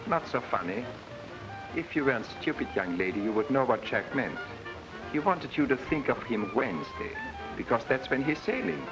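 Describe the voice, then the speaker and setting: An actor playing a gloating, contemptuous Nazi agent who narrates a 1940s propaganda film in a clipped, imperious manner. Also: male voice, medium pitch, sing-song, moderate pace. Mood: sneering. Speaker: narrator of a military training film. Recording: muffled 1940s film soundtrack, music behind.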